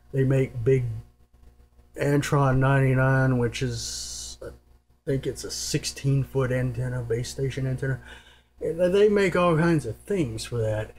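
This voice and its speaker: Man, mid-pitched voice, informal explainer, matter-of-fact, rambling, unscripted, indoor tabletop recording, faint noise and hum. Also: a little mellow. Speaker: presenter giving radio gear advice. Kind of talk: casual unscripted hands-on explainer. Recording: noisy home recording with hum. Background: clear noise and hum.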